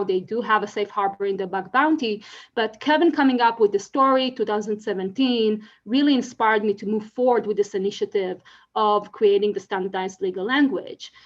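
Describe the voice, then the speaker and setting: A woman's voice: medium pitch, a little fast, muffled recording. A woman from Israel with an Israeli accent, giving an earnest conference presentation full of fillers and restarts. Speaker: conference speaker.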